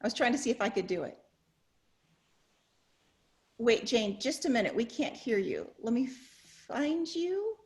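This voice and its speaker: A woman with a fairly high voice hosts a video-call discussion. She talks in a chatty, effusive, informal way, as a professor would when leading a group. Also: very animated, measured pace, long pauses, darkish tone. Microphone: video call microphone.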